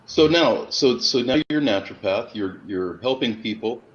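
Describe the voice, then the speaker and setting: A man's voice: medium pitch, animated, normal speed. A man in his late fifties hosts a casual webinar interview, often repeating words as he starts his questions. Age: 50s. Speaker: webinar host. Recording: dull audio with faint noise.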